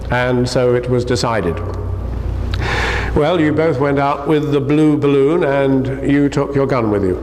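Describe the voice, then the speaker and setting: A man giving an expressive scripted reading of a children's story. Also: mid-range voice, measured pace, big-room sound, slight background noise. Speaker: storybook reader.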